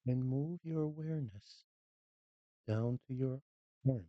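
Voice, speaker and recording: A meditation guide speaks very slowly and calmly, leaving long pauses between short phrases. The voice is dry, with little room sound, and the recording is slightly dull. The delivery is scripted and unhurried, made for guided relaxation. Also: medium pitch, no echo.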